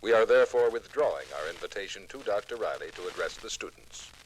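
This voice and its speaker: Stern male university official , acted by a man, low and fast, formal statement style, old radio drama. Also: expressive. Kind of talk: 1950s radio docudrama acting. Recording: noisy vintage radio recording.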